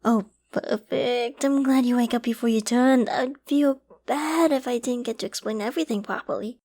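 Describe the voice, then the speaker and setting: A woman acts out a clingy young female survivor of a zombie apocalypse in an ASMR audio drama. Her voice is on the high side, emotional and halting, prone to stammers and hushed dips, with an obsessive devotion underneath. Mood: relieved, eager. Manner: emotional, halting and stammering, often dropping to whispers and sighs.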